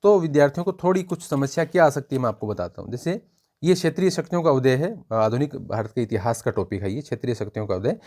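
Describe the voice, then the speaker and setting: A man's voice: medium pitch, animated, fast talker, a little mellow. A man giving an informal educational review, explaining point by point and often repeating himself. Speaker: exam-prep book reviewer.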